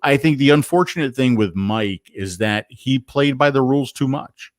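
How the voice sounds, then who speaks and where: A man talking frankly as a podcast guest, halting and repeating words as he thinks. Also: medium pitch, animated, no room sound, clean studio sound. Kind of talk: casual chat.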